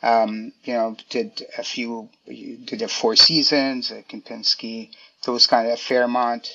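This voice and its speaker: A man's voice: mid-range voice, level tone, slow pace, creaky, bright, forceful tone, highs cut off. A man answering interview questions about his career, hesitant, with many fillers and restarts. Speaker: guest, design director.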